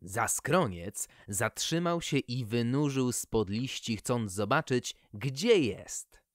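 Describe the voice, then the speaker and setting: Expressive, unhurried storybook narrator. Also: medium pitch, no echo, studio quality. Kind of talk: scripted children's storybook narration.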